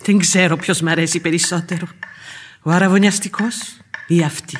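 A woman's voice: fairly low pitch, fairly even intonation, lightly acted. A woman acts an outspoken old-fashioned housekeeper in a radio drama. She grumbles and scolds in a blunt, earthy, superstitious manner. Faint music sits behind the voice. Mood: musing, earthy. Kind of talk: radio theatre acting.

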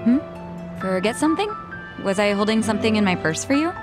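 Self-assured, dry-witted young female game character, voiced by an actress, straight-talking, clear music underneath. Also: medium pitch, animated, fairly quick, natural voice. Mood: gentle. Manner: dry, direct. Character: confident, tough, wry young woman. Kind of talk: video game voice acting. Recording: music behind the voice.

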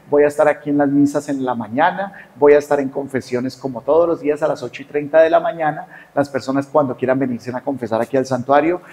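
A man's voice: medium pitch, darkish tone. A male priest, speaking after Mass in an emotional, grateful, heartfelt way.

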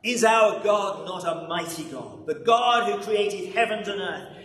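An older man delivers a sermon from a lectern in a big, reverberant church. His pace is very slow and dramatic, with swings between forceful and hushed phrases. He sounds set back from the mic, with some noise around him. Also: high voice. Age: 60s or older. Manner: dramatic, swelling to loud then dropping very quiet. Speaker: preacher. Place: large church interior, at lectern. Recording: roomy echoing church, distant, background noise.

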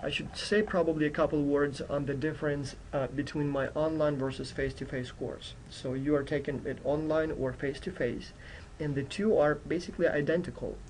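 A man's voice: on the high side, steady tone, brisk, a little mellow, a little hiss or hum, low-quality recording. A man who is a non-native English speaker, giving an informal, explanatory course introduction as a university instructor. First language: not English, which is his fourth language. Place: indoors at a computer.

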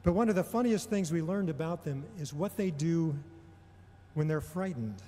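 A middle-aged man delivering a prepared homily in a measured, deliberate way, pausing often between phrases. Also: fairly high pitch, lively intonation, warm tone. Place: large indoor church or hall. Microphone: gooseneck microphone on a lectern. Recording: long reverberation of a large hall.

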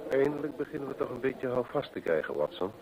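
An actor playing a keen, methodical gentleman detective in an old radio play, deliberate and rhetorical as he reasons aloud. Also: mid-range voice, big pitch swings, muffled recording, crowd noise. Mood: analytical, intent.

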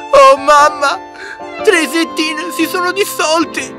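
A woman's voice: very high-pitched, full character voice. A woman voicing an emotional small child who loves toys, exclaiming in a children's fairy tale. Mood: tearful.

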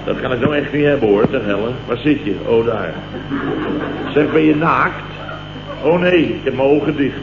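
A man's voice: mid-range voice, normal speed, close to an everyday voice. Man acting a blustering boss on a noisy old recording. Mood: groggy, complaining. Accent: Dutch, from the Netherlands. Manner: rambling, repetitive, exclamatory grumbling. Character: sleep-starved, blustering, self-pitying male company boss. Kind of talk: late 1960s comic radio play acting. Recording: dull, noisy, roomy old radio recording.

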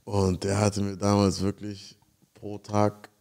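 A man's voice: lowish voice, monotone, takes it slowly, creaky, darkish tone. A man telling stories in a laid-back casual chat, full of slang and English words.

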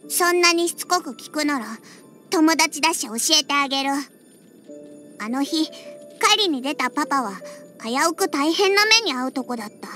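A woman's voice: high voice, animated, normal speed, music in the background. A woman voicing a proud, huffy young cat-girl bartender in an anime-style game, with a small childlike voice and cat-like word endings. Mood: reluctant, confiding. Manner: huffy, tsundere, slipping into cat-like "nya" endings. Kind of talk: Japanese anime-style game character voice lines.

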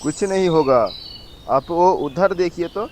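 A man's voice: a little high, moderate pace, darkish tone, a little hiss or hum, lightly acted. A man playing a scheming merchant boss in a doll-story drama, speaking in a stagy, insistent way. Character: scheming male merchant boss hunting treasure.

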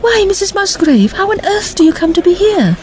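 A woman's voice: extremely high pitch, very animated, fairly quick, darkish tone. A woman playing a polite, girlish young Edwardian woman in an audio drama. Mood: puzzled.

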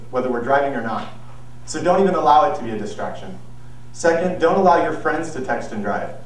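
A young man giving an earnest, measured persuasive speech on an auditorium stage, heard from a far camera. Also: mid-range voice. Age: young adult. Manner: earnest, measured, with some restarts. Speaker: student speaker. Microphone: far camera, no visible mic. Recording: very echoey hall, distant mic, faint noise.

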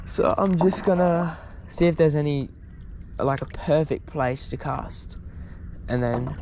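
A young man with an Australian accent giving casual, excitable fishing commentary in colloquial language. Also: fairly high pitch, vocal fry, a little hiss or hum. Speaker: fishing vlogger. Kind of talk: casual live vlog commentary. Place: outdoors on paddle board on river. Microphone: body-mounted action camera.

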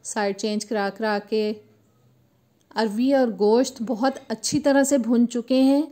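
A woman, host of a cooking channel, calmly explaining a recipe step by step in voice-over. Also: a little high, unhurried. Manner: steady step-by-step instructional tone.

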